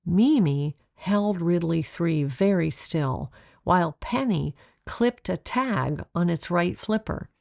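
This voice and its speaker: Lady reading a kids book out loud, slow and expressive, bit of creak in her voice, close dry sound. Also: fairly low pitch, dry recording. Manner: measured, expressive storytelling. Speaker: author reading own children's book aloud. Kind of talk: children's storybook read-aloud narration.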